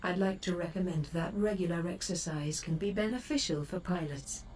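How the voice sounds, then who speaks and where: Game ship computer, female, played by a woman: lowish, mellow, formal, measured, self-assured and superior. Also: close to an everyday voice. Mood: caring, advisory. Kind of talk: scripted game voice assistant lines. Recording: distant, with faint background noise.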